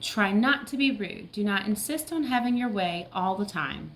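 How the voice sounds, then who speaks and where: A woman's voice: mid-range voice, lively intonation, moderate pace, fairly bright tone, slight background noise. A woman teacher reading a picture book aloud to young children, with simple explanations and check-in questions.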